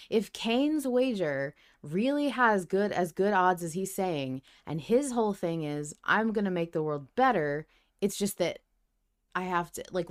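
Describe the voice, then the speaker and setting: A woman co-hosting a casual podcast discussion, thinking aloud with emphatic speculation. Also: on the low side. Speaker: podcast co-host. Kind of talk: casual discussion and speculation about an audio drama.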